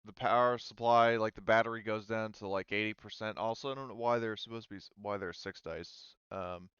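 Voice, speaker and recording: A man with a deep, smooth voice runs a tabletop game as game master. He explains things informally and hesitantly, in a dry, slightly dull recording. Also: fairly even intonation, a little fast, fairly bright tone, no room sound. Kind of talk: casual tabletop game narration.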